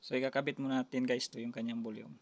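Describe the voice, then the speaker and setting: Man, Filipino accent, creaky voice, even monotone pitch, casual repair tutorial, halting delivery, repeats himself. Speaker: electronics repair tutorial host. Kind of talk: casual hands-on step-by-step demonstration. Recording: noisy amateur recording with clicks.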